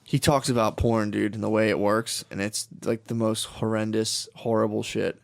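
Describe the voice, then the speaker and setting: A man's voice: fairly low pitch, little pitch movement, normal speed, gravelly. A man chatting casually and crudely as a comedy podcast host, rambling through profane stories and acting out bits of dialogue. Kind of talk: casual, crude comic chat.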